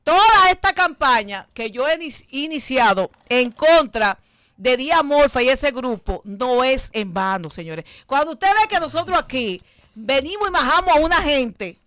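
A woman's voice: high voice, expressive, measured pace, no echo. A woman in her fifties with a Dominican accent, hosting a late-night studio talk show, brash and profane. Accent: Dominican Spanish. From Dominican Republic. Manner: brash, profane and scolding, loud bursts. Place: TV studio set. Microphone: desk mic on stand.

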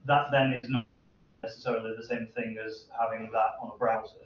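A man's voice: fairly low pitch, little pitch movement, a little fast, distant mic. A man in his thirties answering audience questions as a seminar presenter, explaining things informally and hesitantly. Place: university seminar room, indoors. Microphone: distant camera microphone. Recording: echoey room from afar, dull, hissy.

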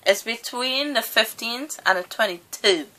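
A woman's voice: on the high side, a little slow, sharp tone. A woman from Trinidad with a Trinidadian accent, chatting playfully with her nephew in a dim bedroom, picked up by a laptop mic across the room. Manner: playful, teasing, sing-song. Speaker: aunt of the vlogger. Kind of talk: casual family chat on a home vlog. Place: small dim bedroom, indoors. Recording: noisy home webcam recording.

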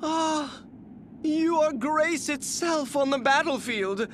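A flamboyant, beauty-obsessed video game warrior, speaking in a strikingly high, effeminate voice. He takes his time over every flowery phrase, refined and theatrical. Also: slow, a bit bright, a little hiss or hum, exaggerated voice. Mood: tender, admiring.

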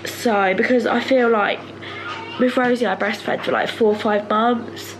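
Croaky young mum chatting at night. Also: female voice, fairly high pitch, steady tone, a little slow, noisy background. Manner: rambling, confiding, many fillers like "like". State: croaky from a cold. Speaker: family vlogger, mother. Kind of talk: casual late-night vlog to camera. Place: home living room, sofa, indoors at night. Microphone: handheld camera held close to her face.

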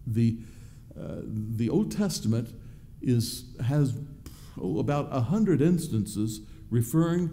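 An older man delivers a sermon from a pulpit. His mid-pitched voice is very warm, dark and relaxed. He explains deliberately, halting and repeating words as he goes. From Southern United States. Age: 60s. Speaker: pastor preaching. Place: church sanctuary, at the pulpit.

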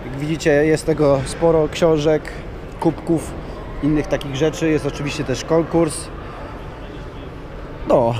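A hoarse man slowly walking through a big indoor exhibition hall, giving casual chuckling commentary for a video. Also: a little high, steady tone, background noise. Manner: slow, rambling, chuckling asides. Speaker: vlogger touring a trade show.

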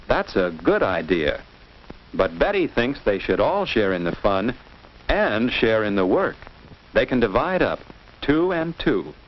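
An American man reading scripted narration for a 1950s children's instructional film, in a didactic way, putting questions to young listeners. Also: mid-range voice. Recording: muffled, noisy old 1950s film soundtrack.

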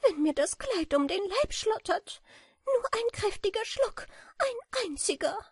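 A woman's voice: extremely high pitch, very animated, unhurried, no room sound, clean studio sound, pitched above the performer's own voice, exaggerated voice. A woman reading aloud voices a small, hungry female bat just woken from hibernation, singing and complaining in a fine, thin soprano. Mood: plaintive. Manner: complaining, sighing. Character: tiny weary female bat, sleepy and hungry. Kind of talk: storybook character voice.

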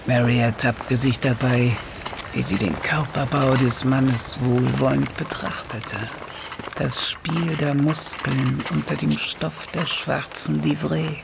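A man's voice: medium pitch, background noise. A man narrating a radio play from a script. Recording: narration over clear background ambience.

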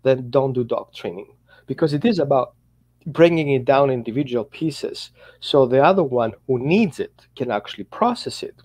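Casting note: Greek-accented man in his fifties, animated pitch, casual video-call chat, non-native phrasing. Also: normal speed. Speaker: dog trainer, co-host. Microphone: headset on a video call.

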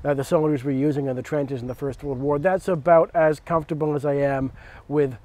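Male host, thirties, higher-pitched voice, conversational hobbyist explainer, recorded outdoors. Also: fairly even intonation, a little fast, a little mellow, no echo. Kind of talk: casual hobby chat and cooking demonstration. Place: outdoors in a leafy backyard.

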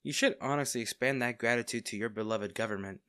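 A man acting as a smitten villain in an intimate audio roleplay, speaking directly to the listener with theatrical pauses. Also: mid-range voice, sharp tone, smooth, no echo, studio quality, lightly acted. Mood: teasing, smug. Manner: teasing, theatrical, pausing, addressing the listener intimately. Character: male villain, teasing, smitten captor of a hero.

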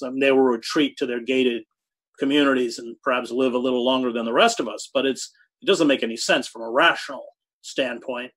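A man in his sixties answering interview questions over a video call from a home study, speaking thoughtfully with halting restarts and hard emphasis on key words. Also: mid-range voice, fairly bright tone. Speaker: guest, journalist. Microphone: video call webcam.

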